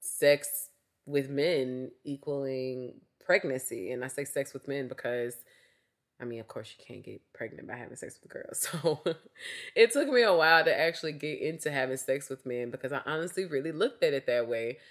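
A young woman hosting a casual solo podcast, candid and chatty, her voice slightly raspy from a cough. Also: rumbling low, normal speed. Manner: candid, chatty, frequent fillers like "like" and "um". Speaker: podcast host.

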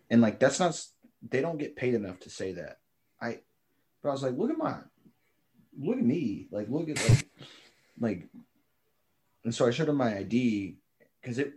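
A young man co-hosting a podcast, telling rambling stories full of fillers and deadpan jokes. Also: fairly low pitch. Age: young adult. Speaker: podcast co-host. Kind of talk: casual chat.

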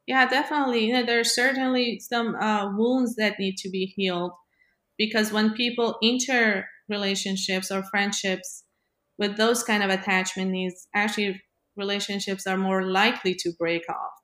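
A woman in a reflective conversation, explaining in a measured, analytical way with pauses mid-thought, in a roomy, echoing recording. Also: medium pitch, steady tone, fairly bright tone.

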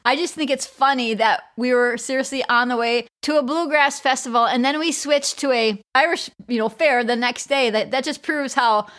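A woman's voice: high voice, normal speed, hardly any pauses, fairly bright tone. A middle-aged woman, telling stories in a chatty, informal way as a podcast co-host. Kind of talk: casual conversational podcast.

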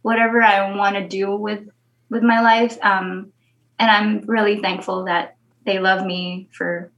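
A woman's voice: medium pitch, little pitch movement, measured pace, fairly bright tone. A young woman from the Philippines, a guest talking candidly in a casual interview, with frequent laughs and a Filipino mother tongue. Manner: candid, chatty, laughs often.

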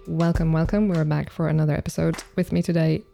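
A woman's voice: deep voice, flat delivery, fast, creaky, music in the background. A woman hosting a podcast, welcoming her guests and teasing them wryly in casual chat. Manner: wry, teasing. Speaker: podcast host.

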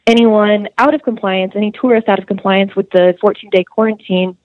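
A woman's voice: medium pitch, steady tone, fairly quick, no echo. A young woman, a student, earnestly recounting a detailed story in an interview, with casual fillers. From United States. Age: young adult. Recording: dull, muffled dry recording.

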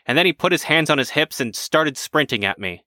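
A man's voice: fairly high pitch, lively intonation, brisk, bright, forceful tone, no room sound, clean studio sound, pitched above the performer's own voice. A man voicing a cantankerous, insult-hurling pensioner in his seventies, telling his story with indignant boasting. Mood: boastful. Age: over 70.

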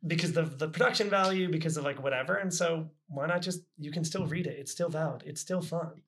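A man's voice: on the high side, brisk, fairly bright tone. A man chatting casually as a podcast host, with a wry, playfully exaggerating way of talking. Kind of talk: casual chat.

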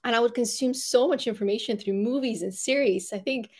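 A woman's voice: a little high, fairly quick, darkish tone. A woman from Bulgaria speaking English, a podcast guest earnestly reflecting on her childhood and schooling. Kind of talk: reflective storytelling.

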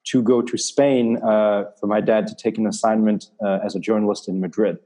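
Mellow-voiced German-raised coach. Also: male voice, fairly low pitch, level tone. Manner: measured, with many "uh" and "um" fillers. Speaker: athletics coach. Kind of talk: interview answers.

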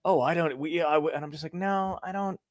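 A man in mid-range pitch chatting as a podcast host, rattling along at a brisk clip with lots of swearing and tangents. The sound is dry and close, with no room around it. He speaks loosely and laughs at himself. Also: dry recording. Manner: fast, profane, self-deprecating, rambling. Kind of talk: casual interview chat.

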